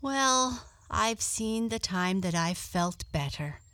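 A woman's voice: mid-range voice, expressive, takes it slowly, a bit bright, dry recording, pitched above the performer's own voice. A woman voicing a polite, adventurous female cat in a children's storybook, kindly, earnest and a little homesick. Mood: polite, curious.

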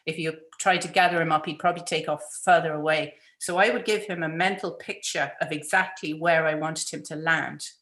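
A woman's voice: deep voice, steady tone, a little fast, hardly any pauses, fairly bright tone. A woman hosting a casual interview, telling her own story. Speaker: interview host. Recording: echoey room.

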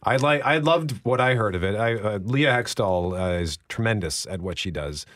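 A man's voice: lowish voice, lively intonation, moderate pace, fairly bright tone, dry recording, clean studio sound. A man hosting a radio talk show, chatting casually and animatedly, sometimes mimicking other people's voices.

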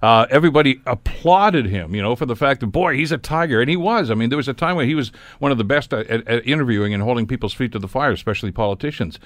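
A man's voice: mid-range voice, animated, fast, no room sound. A man hosting a radio panel discussion, telling stories in an opinionated way and acting out quotes as he goes. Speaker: radio talk show host.

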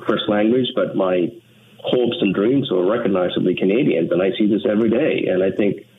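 Sri lankan guy in his 50s on a tinny phone line, lowish voice, earnest, keeps restarting sentences, faint hiss. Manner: earnest, reflective, halting with frequent restarts. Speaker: guest. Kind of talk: personal story in a radio interview.

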